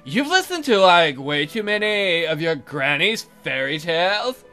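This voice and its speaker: A man's voice: on the high side, big pitch swings, unhurried, pushed and bright, soft background music, exaggerated voice. A man voicing an annoyed, airheaded young fairy-tale maiden in a visual novel, with a hesitant valley-girl drawl full of filler words.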